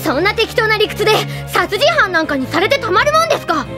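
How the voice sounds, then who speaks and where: A woman playing an excitable, dramatic high school girl in an anime audio drama, exclaiming and pleading. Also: fast, over music. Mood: indignant.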